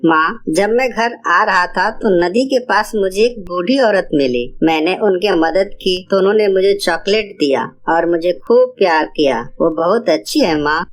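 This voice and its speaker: A woman's voice: on the low side, normal speed, few pauses, lightly acted. Woman acting earnest young village schoolboy. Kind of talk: cartoon moral-story voice acting.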